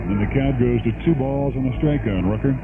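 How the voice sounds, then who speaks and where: A man's voice: medium pitch, fairly quick. A man calling baseball play-by-play on 1970s radio in short, clipped, conversational phrases. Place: stadium broadcast booth. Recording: noisy, tinny narrow-band 1973 radio broadcast.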